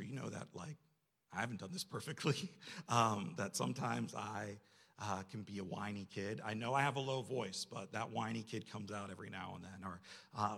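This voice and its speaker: A man's voice: fairly low pitch, creaky, a bit bright, slightly rough. A man preaching a sermon to a congregation in a conversational, self-deprecating way, often posing rhetorical questions. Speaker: church staff member preaching.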